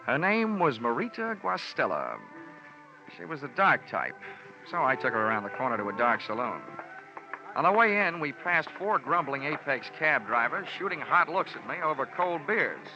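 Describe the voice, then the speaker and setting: An actor playing a tough, wry, world-weary insurance investigator in clipped old-time radio detective style. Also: male voice, a little high, sing-song, normal speed. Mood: wry narration. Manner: clipped, hard-boiled, wry. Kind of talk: 1940s-50s radio detective acting and narration. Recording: dull old radio recording with music.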